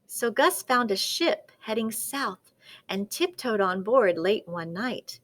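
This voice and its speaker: A woman reading a children's picture book aloud as a storyteller, with dramatic, deliberate expression. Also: a little high, lively intonation, fairly bright tone.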